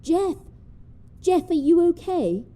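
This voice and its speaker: A woman's voice: extremely high pitch, very animated, takes it slowly, warm tone, heavily acted. An actress playing a feisty, mischievous old woman in an audio drama, her voice muffled. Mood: concerned, urgent. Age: older.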